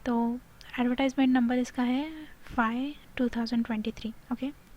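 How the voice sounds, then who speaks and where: A woman's voice: a little high, steady tone, moderate pace, darkish tone, lo-fi sound. An Indian woman giving an informal explainer, reading out and explaining details while mixing in English phrases. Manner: mixes Hindi with English phrases. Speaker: video host explaining a job notification. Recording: clear background noise.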